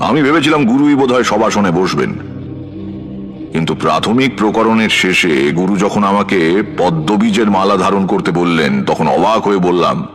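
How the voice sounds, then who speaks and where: A man playing a tantric practitioner who narrates a horror audio story in a measured, suspenseful way. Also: deep voice, lively intonation, faint music behind. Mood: measured, eerie. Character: male tantric practitioner recounting his eerie past rites.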